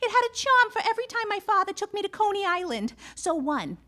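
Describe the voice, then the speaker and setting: A rambling 1940s secretary for a radio play, earnest and literal-minded. Her voice is extremely high and bright, bouncing widely in pitch as she over-explains. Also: very animated, fairly quick, heavily acted. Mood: wistful, rambling. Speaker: actor playing the secretary. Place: theatre stage before a studio audience.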